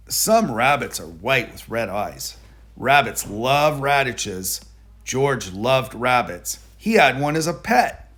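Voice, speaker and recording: A man narrating a children's picture book aloud in a deliberate, explanatory manner. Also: medium pitch, fairly bright tone, slightly rough. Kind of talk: children's storybook read-aloud.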